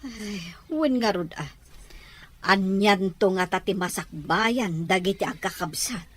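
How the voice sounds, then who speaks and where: A woman's voice: medium pitch, measured pace, close to an everyday voice. A mid-range voice. A woman plays a plain-spoken village aunt in an audio drama, reflective and obliging as she talks. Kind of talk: family radio-drama acting.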